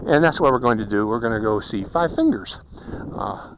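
Middle aged pittsburgh guy doing a casual outdoor drone review, really lively up and down voice, bit of background noise. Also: medium pitch, moderate pace, poor audio. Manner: chatty, explains step by step. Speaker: drone reviewer and channel host. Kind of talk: casual hands-on product review narration. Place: outdoors in a windy desert canyon. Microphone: body-worn hat camera. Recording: outdoor action-camera audio with noise.

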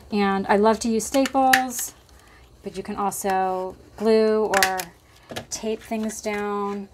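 A woman hosting a casual crafting tutorial at her table, chatty and thinking aloud with lots of fillers, her voice slightly hoarse. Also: medium pitch, a little hiss or hum.

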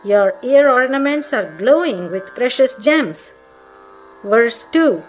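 A woman's voice: on the high side, lively intonation, slow. A woman giving a scripted devotional recitation of verses and their meanings. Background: faint Indian classical music.

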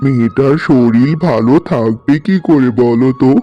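A man's voice: medium pitch, normal speed, muffled recording, lightly acted. A man voicing an earnest, protective middle-aged village father in a cartoon story. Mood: concerned. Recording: music bed under the voice.

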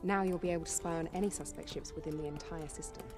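A woman's voice: low voice, steady tone, quick pace, a little mellow, soft background music. An actress playing a confident young female military pilot in a video game, formal and self-assured. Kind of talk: scripted game cutscene dialogue.

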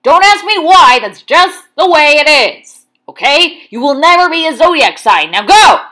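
A woman voicing a bossy, hot-tempered zodiac creature in a cartoon who yells and wants to lead. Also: high voice, sing-song, moderate pace, full character voice. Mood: angry. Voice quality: sharp, impatient, yelling. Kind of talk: cartoon voice acting.

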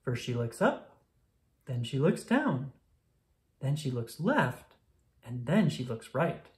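A woman reading a children's picture book aloud, expressively and clearly paced for young listeners. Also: a bass voice, big pitch swings, slow, darkish tone. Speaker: storybook reader. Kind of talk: children's read-aloud narration.

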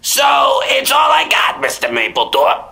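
High, excitable, pushy motel clerk. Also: male voice, normal speed, creaky, shouting, heavily acted. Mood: jovial, pushy. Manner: effusive, chuckling, folksy. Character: chatty, pushy Italian-American motel clerk, over-friendly salesman. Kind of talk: radio drama acting.

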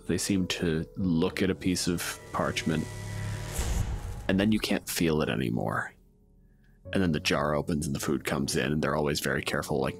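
A man with a fairly low voice serves as game master, describing scenes conversationally. Soft music plays behind him as he guides the tabletop game.

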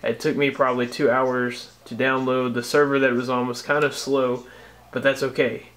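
A man's voice: medium pitch, little pitch movement, moderate pace, fairly bright tone. An American man narrating a casual step-by-step tutorial as a tech host, explaining things informally.

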